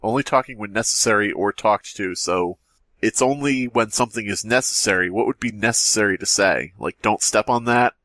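A man's voice: fairly low pitch, brisk, a bit bright, dry recording. A man hosting a podcast, reading a horror story aloud and breaking into playful, mocking banter. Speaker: podcast host.

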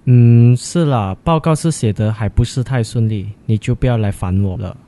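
A man's voice: mid-range voice, normal speed, dark tone, close to an everyday voice. A man playing a stressed, impatient young student who is curt with his girlfriend in a radio drama. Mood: weary, dismissive.

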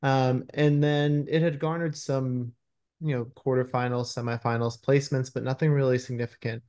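Mid-pitched man chatting on a video call. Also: little pitch movement, normal speed, darkish tone. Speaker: screenwriter guest. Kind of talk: casual interview chat. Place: room at home, indoors.

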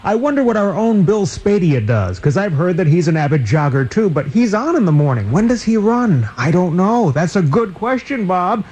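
A man's voice: high voice, sing-song, normal speed, few pauses, darkish tone, husky. An American man working as a radio DJ, delivering upbeat music-station patter. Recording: radio aircheck with music behind.

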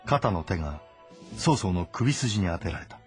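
A man with a fairly deep voice narrates a historical drama. He tells it in short, measured phrases, scripted and dramatic. Faint music sits underneath. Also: big pitch swings, darkish tone. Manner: terse, measured storytelling. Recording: voice over background music.

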